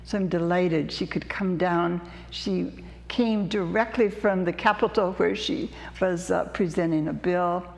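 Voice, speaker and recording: A woman hosting a studio interview show, introducing her guest and asking questions in an unhurried, conversational way. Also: on the low side, fairly even intonation, creaky, big-room sound. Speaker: talk show host.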